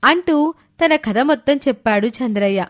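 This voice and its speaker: A woman's voice: high-pitched, lively intonation, normal speed. A woman narrator telling a scripted moral story.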